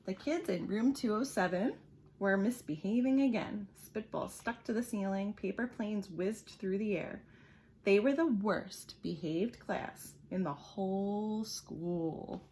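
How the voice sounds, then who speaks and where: A woman reads a children's storybook aloud with an animated, emphatic delivery and a slightly husky voice. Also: medium pitch, a little slow, a little hiss or hum, low-quality recording. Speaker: storybook reader.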